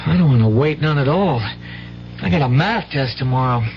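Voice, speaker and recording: An actress playing a worried, impatient schoolboy in a 1970s radio drama, talking in a folksy, plain-spoken way. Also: female voice, extremely low pitch, lively intonation, moderate pace. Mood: fretful, impatient. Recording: muffled, hissy 1970s radio recording.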